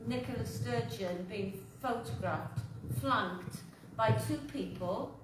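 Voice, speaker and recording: A woman's voice: a little high, takes it slowly. Hesitant woman in the audience, probing questions, sounds far off in a roomy hall with noise. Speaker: audience member. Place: chapel hall. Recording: echoey room from far off with background noise.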